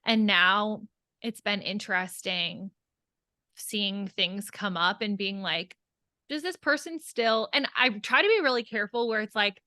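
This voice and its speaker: A woman with a bright, crisp-edged voice chats on a podcast. Her delivery is conversational and candid, with plenty of "like" along the way. The sound is dry and close, with very little room. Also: mid-range voice, unhurried, no room sound. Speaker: podcast co-host. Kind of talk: casual podcast chat.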